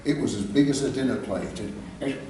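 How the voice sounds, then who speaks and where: An older man with an English East Midlands accent, telling a story live with careful diction and dramatic pauses. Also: mid-range voice, dark tone. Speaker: author reading his own work. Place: live reading in a theatre clubroom. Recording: very echoey hall, distant mic, background noise.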